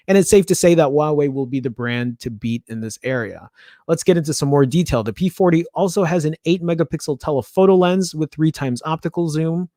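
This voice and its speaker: A man's voice: fairly high pitch, dark tone, no echo, clean studio sound. A man with a Chicago accent hosting a casual tech news show, reading an article aloud and breaking off into chatty asides and little stumbles.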